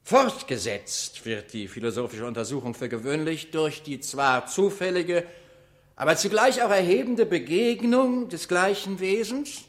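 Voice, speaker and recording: Pompous philosopher, fairly high voice, grandiose lecturing declamation for vintage radio comedy. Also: male voice, lively intonation, measured pace. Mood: pompous, lofty. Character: pompous male philosopher lecturing in lofty abstract jargon. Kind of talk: 1960s radio drama, mock-academic declamation.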